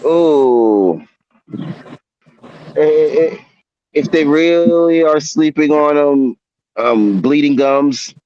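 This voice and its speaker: A man's voice: on the high side, drawn-out words, pauses often, darkish tone, lo-fi sound. Laid-back man in his forties, stammering. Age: 40s. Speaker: interview guest. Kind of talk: casual interview chat.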